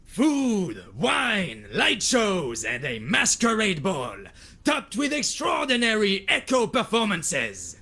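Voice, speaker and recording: A grand festival announcer in a game, high and bright. His showy delivery swings dramatically in pitch and lingers on each item. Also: huge pitch swings, takes it slowly, heavily acted. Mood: grand, enticing.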